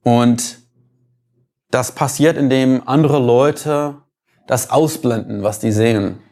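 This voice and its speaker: A man's voice: medium pitch, slow, a little mellow. A man from the United States with an American accent, giving an explanatory lecture, thoughtful and sometimes searching for words.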